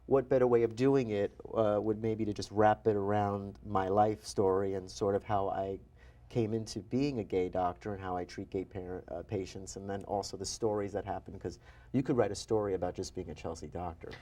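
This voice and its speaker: A man in his forties from New York with a low, mellow voice, chatting as a doctor-guest in an interview. He talks in an animated, self-deprecating way and laughs at himself. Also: brisk. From New York, USA. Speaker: guest physician and author. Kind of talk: interview conversation.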